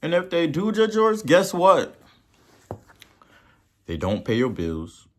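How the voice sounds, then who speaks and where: A man with a Haitian accent hosting a podcast and giving a motivational talk, preachy, repeating words for emphasis and swelling to loud peaks. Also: on the high side, huge pitch swings, slow. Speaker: podcast host.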